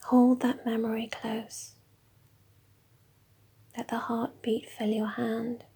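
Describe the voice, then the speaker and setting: A woman leading a guided meditation in a slow, soft, mostly whispered voice. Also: a little high, flat delivery, slightly rough.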